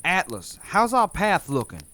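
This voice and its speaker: A man voicing a steady group leader who talks in a practical, reassuring way. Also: sits high, a little slow, exaggerated voice.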